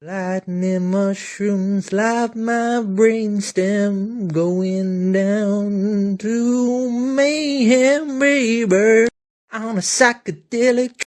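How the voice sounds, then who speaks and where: A performer delivering voice sample lines in a drawn-out, theatrical way. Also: mid-range voice, fairly even intonation, a little mellow.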